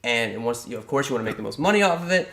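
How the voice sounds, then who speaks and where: Male host, casual chat, bright tone, roomy echo, talks in restarts. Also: medium pitch, animated, very fast, gravelly. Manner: rambling, restarts often. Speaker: podcast host.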